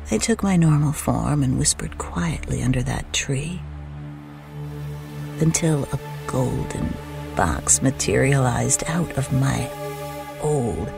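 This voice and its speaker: A man voicing an ancient, malevolent witch in a horror story, gleeful and predatory, slow and deliberate, slipping into hushed whispers. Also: a little high, vocal fry, heavily acted. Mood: sly, secretive. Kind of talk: dramatic horror story narration. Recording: music under the voice.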